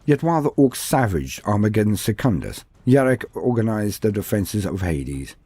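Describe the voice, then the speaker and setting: A man's voice: on the low side, very animated, moderate pace, dark tone, slightly rough. A man narrating fictional war history as a scripted, documentary-style lore storyteller.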